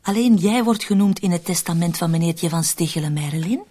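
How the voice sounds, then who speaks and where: An actress playing a cunning, cold woman in a radio drama, persuasive and controlled, with a husky, often breathy voice. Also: lowish voice, a little fast, mellow. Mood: cold, explanatory. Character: cunning, cold, manipulative woman plotting to shift murder blame. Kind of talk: Dutch-language radio drama acting.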